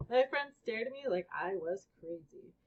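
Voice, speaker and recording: A woman with a husky voice reading a children's book aloud as a storybook reader. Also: mid-range voice, animated, moderate pace. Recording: dull, lo-fi recording.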